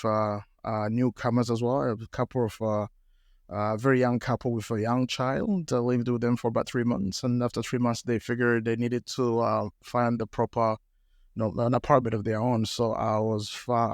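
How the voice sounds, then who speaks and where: A man's voice: medium pitch, little pitch movement, moderate pace, no room sound, clean studio sound. A middle-aged man from Ethiopia, a panel guest telling a story with many hesitations and fillers. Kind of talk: storytelling in a live podcast panel. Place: live panel event.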